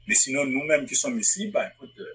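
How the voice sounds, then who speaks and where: A man's voice: medium pitch, lively intonation, fast talker, fairly bright tone, slight background noise, rough recording. A man from Africa, a publisher and writer, answering interview questions in a thoughtful way, often restarting phrases as he searches for words. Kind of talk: interview guest. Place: Northwest Territories.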